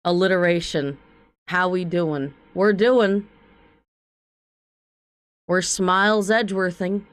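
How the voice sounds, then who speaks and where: A woman streamer with a slightly raspy voice, giving chatty, jokey commentary while playing a game. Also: lowish voice, fairly even intonation, slow. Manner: chatty, jokey asides to chat. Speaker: vtuber streamer. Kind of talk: playthrough commentary.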